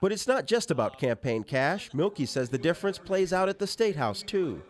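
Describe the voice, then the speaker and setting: Animated male TV news reporter. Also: a little high, moderate pace. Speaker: political TV reporter. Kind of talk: scripted news narration.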